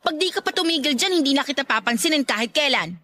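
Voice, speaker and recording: High-voiced feisty young sweetheart. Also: female voice, expressive, fast talker, bright, forceful tone, no echo. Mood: exasperated. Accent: Filipino. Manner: scolding, exasperated, blunt. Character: feisty, irritable young woman hiding her affection. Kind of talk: Tagalog radio drama acting.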